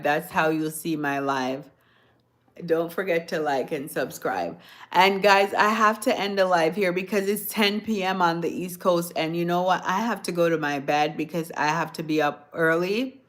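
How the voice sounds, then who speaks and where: A woman from Jamaica chatting on a phone livestream, answering viewers' comments in a soft-spoken, sometimes hoarse voice. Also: on the low side, normal speed. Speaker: livestream host. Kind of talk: casual livestream chat with viewers.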